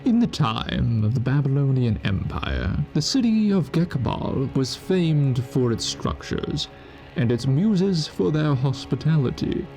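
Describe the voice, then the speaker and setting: A man giving measured, scripted narration as the story's narrator. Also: mid-range voice, very animated, warm tone, faint music behind.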